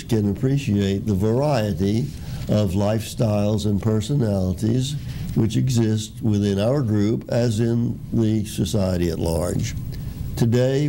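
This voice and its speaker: A man hosting a talk show, introducing guests in a measured, formal way. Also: on the low side, mellow, slight background noise, low-quality recording. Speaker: host.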